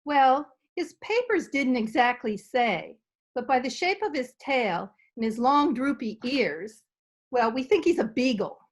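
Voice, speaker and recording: Woman playing a high, lilting genteel lady. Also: lively intonation, measured pace. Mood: genteel, fond. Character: polite, genteel lady devoted to her dog. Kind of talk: old-time radio comedy acting.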